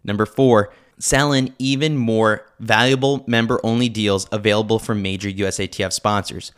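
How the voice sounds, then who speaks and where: A man's voice: fairly low pitch, steady tone, moderate pace, fairly bright tone, clean tone. A man reading a scripted opinion newsletter aloud as a podcast host. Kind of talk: scripted narration, opinion commentary.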